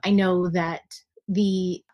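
A woman from San Francisco, a podcast guest, speaking in a thoughtful, measured, slightly hesitant way. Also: fairly low pitch, level tone, darkish tone. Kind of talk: casual interview.

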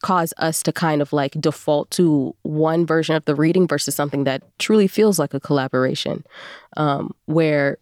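A woman with a notably low voice chats as an interview guest. She is expressive and emphatic, and the recording is very dry, with hardly any room sound. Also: normal speed, no room sound. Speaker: guest voice performer. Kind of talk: casual conversational interview.

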